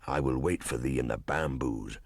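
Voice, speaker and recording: Guy doing a big brother wolf for a storybook, super deep n gravelly, grave, bit anxious. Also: normal speed, no echo, clean studio sound. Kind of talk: storybook audiobook acting.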